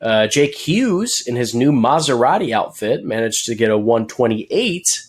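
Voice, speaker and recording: A man's voice: medium pitch, big pitch swings, a little slow, husky. A man co-hosting a podcast, recapping racing in casual chat, rambling with many fillers and jokes. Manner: rambling, filler-heavy, joking and laughing. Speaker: podcast co-host.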